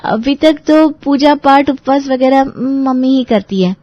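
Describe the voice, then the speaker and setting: A woman's voice: high-pitched, a little slow, no echo. A woman playing a polite, earnest young bride-to-be in a family audio drama, with slightly dull sound. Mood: eager, earnest. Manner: respectful, earnest. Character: polite, earnest young bride-to-be meeting her sisters-in-law.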